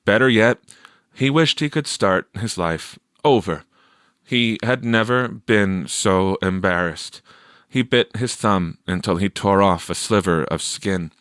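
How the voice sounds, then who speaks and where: A man narrating a story from a script in a measured, expressive storytelling manner. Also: fairly low pitch, dry recording, clean studio sound. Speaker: audiobook narrator.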